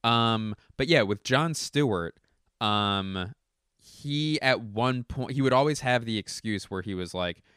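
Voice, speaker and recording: A man who does stand-up, rambling casually to camera at home with a hoarse voice, clearing his throat often and swearing freely. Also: mid-range voice, a little slow, a bit bright, no echo, studio quality. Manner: rambling, self-correcting, profane. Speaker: stand-up comedian. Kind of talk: casual talking-to-camera commentary.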